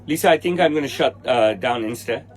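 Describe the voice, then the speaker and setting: A man's voice: mid-range voice, expressive, fairly quick, noisy background. A man in his forties with a Hong Kong English accent, a tailor answering livestream viewers in a blunt, impatient way. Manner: blunt, impatient, swears at commenters. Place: indoor room with trophies behind him.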